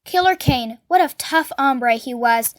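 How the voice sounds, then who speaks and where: A woman voicing a gruff, scornful tattooed tough man in a storybook, curt and sneering. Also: high voice, lively intonation, a little slow. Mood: menacing.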